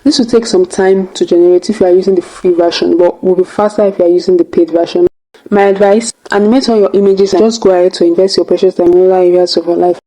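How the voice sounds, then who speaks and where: A woman's voice: on the low side, steady tone, fast, dark tone, poor audio. A woman hosting a tutorial, narrating step by step in a casual way. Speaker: tutorial host.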